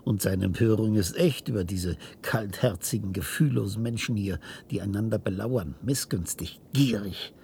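An actor playing an obsessive, cold scientist in a radio drama, lecturing with intensity and secretive command. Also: male voice, lowish voice, moderate pace, gravelly, hardly any pauses, darkish tone, slight background noise. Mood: secretive, explaining.

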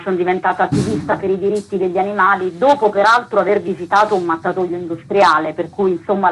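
A woman's voice: mid-range voice, a bit bright, a little hiss or hum, low-quality recording. A woman explaining things earnestly and emphatically as an interview guest. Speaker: guest, theatre author and actress.

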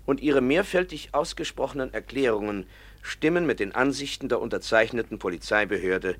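Earnest teacher in a radio play, mid-pitched. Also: male voice, animated, fairly quick. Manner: earnest, reads aloud from an official report. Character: earnest, principled male schoolteacher. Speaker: professor and teacher.

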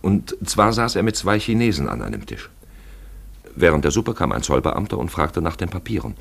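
A man narrating a literary radio play in an expressive, deliberate storytelling manner, with a gravelly, husky voice. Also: deep voice.

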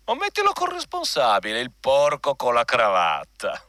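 An actor playing a jovial, conniving personnel director and old crony, jocular and wheedling, in a radio drama. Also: male voice, medium pitch, huge pitch swings, normal speed, sharp tone, dry recording, studio quality. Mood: wheedling, pushy.

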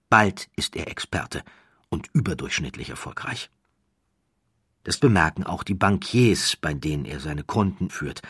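A male narrator reads a documentary audiobook. His voice is very deep and distinctly husky, recorded very dry and close. He tells the story in a measured, dramatic manner, setting deliberate pauses. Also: no room sound.

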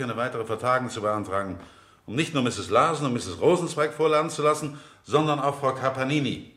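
A man's voice: mid-range voice, a bit bright, roomy sound. A man in a German radio drama playing an insistent defence lawyer, formal and pressing as he cross-examines. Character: insistent, sharp-questioning male defence lawyer in court.

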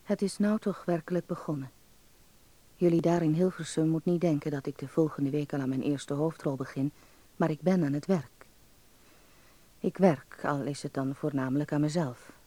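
A man's voice: on the high side, steady tone, normal speed, dark tone, close to an everyday voice. A man playing a hopeful young actor who narrates in the first person, earnest and confiding, in a radio drama. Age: young adult. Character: hopeful young male actor narrating his letter home.

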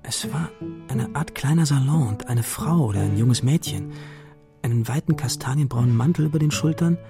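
Creaky-voiced man as a young storytelling hero. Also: medium pitch, normal speed, natural voice. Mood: reflective. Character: adventurous schoolboy hero recounting his strange night. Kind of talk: literary first-person narration in radio drama. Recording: studio radio drama with music behind. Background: music.

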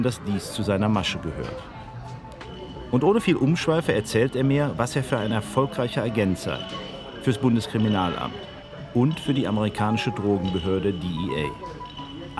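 A man narrating a documentary in measured first-person storytelling as an investigative journalist. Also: fairly low pitch. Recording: music underneath.

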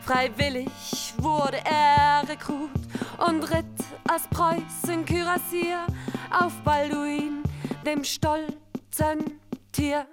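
High-voiced, sing-song rhyming balladeer. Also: female voice, steady tone, extremely slow, loud music behind the voice. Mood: playful, mock-epic. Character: playful female balladeer telling comic rhymes. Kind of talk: rhymed narration in radio play.

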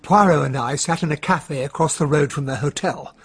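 An actor playing an affable, straightforward gentleman, a detective's loyal companion, with a husky voice and English accent in a radio drama. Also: a little high, a little fast. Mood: matter-of-fact. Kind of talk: radio drama acting and narration.

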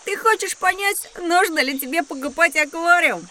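Cheeky, very high-voiced young boy. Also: moderate pace. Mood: teasing. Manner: teasing, excitable. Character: cheeky young boy, curious, mischievous, thirsting for danger. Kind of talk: children's educational audio drama.

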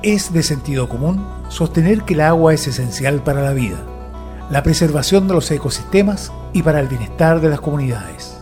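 A man's voice: fairly high pitch, expressive, fairly quick, darkish tone. Man narrating over music. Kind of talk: scripted documentary-like narration.

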